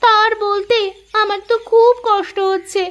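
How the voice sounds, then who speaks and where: A woman voicing a young, outspoken daughter-in-law in a cartoon, pert and expressive in manner. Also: very high-pitched, moderate pace, muffled recording. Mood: envious, hurt. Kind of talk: dubbed moral cartoon dialogue.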